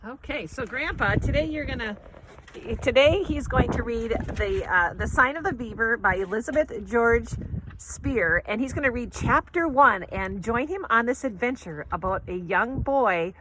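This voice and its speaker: A woman's voice: a little high, expressive, normal speed, slight background noise, poor audio. A woman informally presenting to a class of schoolchildren as the host who introduces a guest reader.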